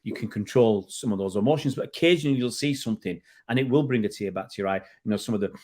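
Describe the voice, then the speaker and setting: A man's voice: on the low side, fast talker, darkish tone. Chatty middle-aged man hosting a fan chat. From England. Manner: chatty, repeats words and restarts. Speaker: fan channel host. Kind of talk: casual football chat.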